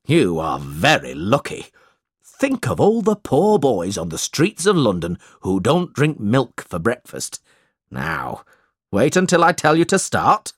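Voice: mid-range voice, wildly swooping pitch, a little slow, slightly rough, no room sound, studio quality, heavily acted. A storybook reader voicing a stingy, blustering middle-aged male schoolmaster who talks in a bossy, falsely generous way.

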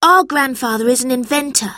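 A woman voicing a brave young boy who speaks calmly and reassuringly, in a storybook reading. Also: high voice, a little fast, dry recording, studio quality, voice pushed up.

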